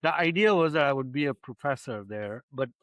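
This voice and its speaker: A man in his sixties from India, a physician guest telling his story in an unhurried, reflective way in a studio interview. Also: medium pitch, lively intonation, a bit bright, no echo. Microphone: studio microphone.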